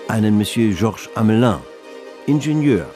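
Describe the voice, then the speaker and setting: An actor as the composed narrator of a German radio drama, telling a period novel in literary, scripted style. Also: male voice, on the low side, expressive, normal speed, warm tone, soft background music, close to an everyday voice. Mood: composed, descriptive.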